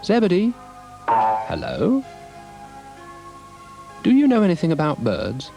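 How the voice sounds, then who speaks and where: A man's voice: on the high side, wildly swooping pitch, takes it slowly, gravelly, dark tone, soft background music, full character voice. A man voicing a sensible, curious young girl in a children's story, polite and inquiring. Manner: inquiring, straightforward.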